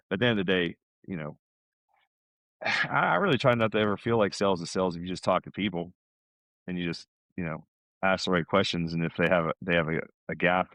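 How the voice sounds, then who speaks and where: Low-voiced man chatting casually. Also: fairly bright tone, no room sound. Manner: chatty, informal, peppers talk with "man" and "you know". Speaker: podcast guest. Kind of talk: casual conversational podcast talk.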